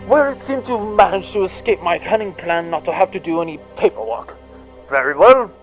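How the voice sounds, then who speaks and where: High-pitched gloating villain duke. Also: male voice, animated, a little fast, music in the background. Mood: grudging menace. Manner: theatrical, gloating. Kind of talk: comic sci-fi audio drama acting.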